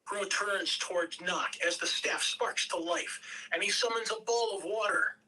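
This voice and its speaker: A woman narrates a script at a table read. Her voice is bright and mid-pitched, measured with the odd stumble. The microphone sounds some distance away. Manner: measured, occasional stumbles over words. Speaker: narrator reading stage directions.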